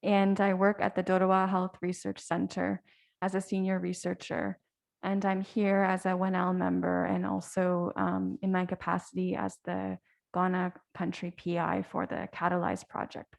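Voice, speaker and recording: Creaky-voiced Canadian woman. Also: on the low side, completely flat delivery, moderate pace. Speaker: senior researcher. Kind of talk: meeting self-introduction.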